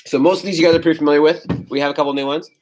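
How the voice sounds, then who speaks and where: A man's voice: on the high side, rapid-fire, reverberant, a little hiss or hum. A man with a blocked nose talks through stretches in an easy, friendly coaching voice. He sounds distant from the camera mic, and his voice bounces around a bare garage gym. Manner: relaxed, friendly coaching while demonstrating stretches on the floor. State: congested, stuffy nose. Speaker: fitness coach. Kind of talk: live-streamed workout instruction. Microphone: camera mic from across the room.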